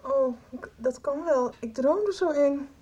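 A woman's voice: high voice, slow pace, warm tone, dull audio. A woman playing a troubled, withdrawn young person in a radio soap, hesitant and evasive.

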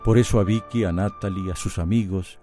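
A man delivers scripted narration for a radio drama. His voice is deep and sits over clearly audible music. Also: steady tone, fairly quick, mellow.

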